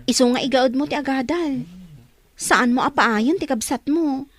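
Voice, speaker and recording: A woman's voice: high voice, normal speed. A woman playing a chatty, generous young student friend in an audio drama, teasing and encouraging.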